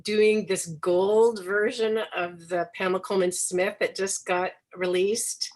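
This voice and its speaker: A woman's voice: mid-range voice, fairly even intonation, a little slow. A woman chatting casually on a video call as a guest tarot reader, affectionate in how she talks. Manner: affectionate, addresses listener endearingly.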